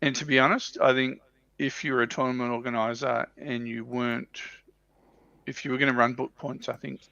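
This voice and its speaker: A man giving his opinions in casual, halting chat as a panelist on a hobby livestream, often rephrasing as he goes. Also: mid-range voice, level tone, gravelly, sharp tone, no room sound, highs cut off. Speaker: livestream panelist on a tabletop wargaming show.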